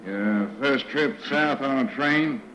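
An actor playing a folksy, commanding train conductor who enforces segregation in a 1940s radio drama. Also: male voice, mid-range voice, slow pace, a bit bright. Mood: gruff, impatient. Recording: echoey, muffled, noisy old radio recording.

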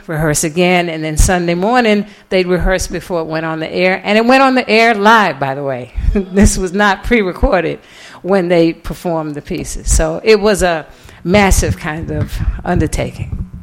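A woman giving a public lecture as an author, telling the story in an emphatic, deliberate way with repeated phrases. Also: on the low side. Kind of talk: lecture with audience questions. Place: public lecture event, live before an audience.

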